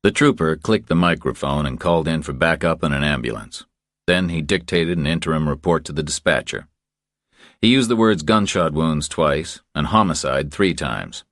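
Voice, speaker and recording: Very deep-voiced male thriller narrator. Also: animated, normal speed, a bit bright, no echo, studio quality. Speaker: audiobook narrator.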